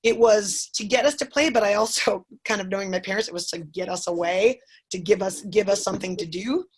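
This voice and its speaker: A woman's voice: mid-range voice, a little fast, husky. A woman in her forties who grew up in Chicago talks over a group video call. She thinks aloud, circles back and restarts her sentences as she works out an idea. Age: 40s.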